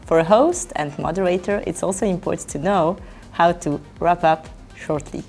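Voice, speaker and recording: A woman on an agency team, speaking in an interview in a measured, admiring way. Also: lowish voice, a little mellow, faint music behind.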